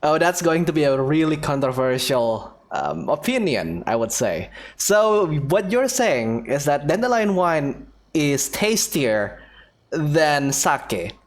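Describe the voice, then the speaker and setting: A woman's voice: sits low, sing-song, takes it slowly. A woman streaming a game with casual, reflective commentary, answering the characters a little hesitantly.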